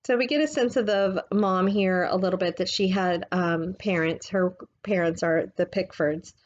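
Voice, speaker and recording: A woman reading a book aloud like a teacher, in a gentle, measured, explanatory way. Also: fairly low pitch, dull audio.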